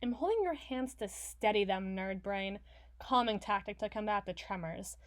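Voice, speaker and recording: A woman's voice: mid-range voice, lively intonation, moderate pace, natural voice. A woman playing a foul-mouthed, stubborn villain in an audio roleplay, blustering and sarcastic with her partner. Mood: gruffly softened. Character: brash, foul-mouthed, hot-tempered villain woman, gruffly soft on partner. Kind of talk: ASMR roleplay voice acting.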